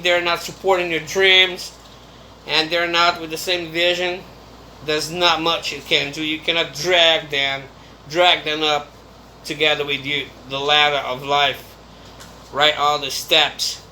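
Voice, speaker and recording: Slow, bright-voiced Brazilian man. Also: a little high, flat delivery, slight background noise, rough recording. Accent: Brazilian Portuguese. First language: Brazilian Portuguese. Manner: rambling, chatty, with restarts and laughs. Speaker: vlogger. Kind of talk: casual monologue to camera.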